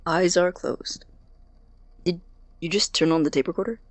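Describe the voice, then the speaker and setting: A man acting in an audio drama as an awkward, earnest, apologetic college student who stammers and speaks softly. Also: high-pitched, fairly even intonation, normal speed, dry recording, lightly acted. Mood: sheepish. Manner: hesitant, stammering, soft and breathy when sincere.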